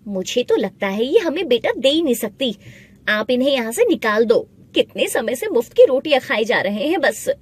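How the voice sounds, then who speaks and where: A woman voicing a spiteful, scheming village wife in an animated moral tale, contemptuous and sharp-tongued. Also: on the high side, normal speed. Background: background music.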